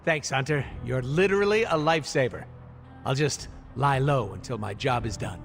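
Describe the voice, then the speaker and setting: An actor playing a cocky, irreverent mercenary antihero in a video game, with a jokey, sarcastic, theatrical delivery. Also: male voice, mid-range voice, normal speed, gravelly, soft background music, natural voice. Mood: cocky, joking. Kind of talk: video game cutscene acting.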